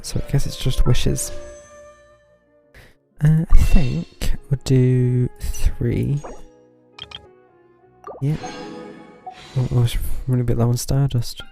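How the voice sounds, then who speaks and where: A man giving casual, low-key live commentary on a game, half talking to himself as he plays. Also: vocal fry, mellow. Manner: low-key, muttering to self while playing. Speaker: game streamer. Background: game music.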